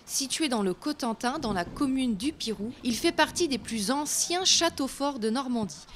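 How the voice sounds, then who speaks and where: A woman's voice: sits high, expressive, normal speed, a little hiss or hum. A woman hosting a storytelling show, narrating scripted folk legends in a theatrical, literary manner. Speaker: host and storyteller.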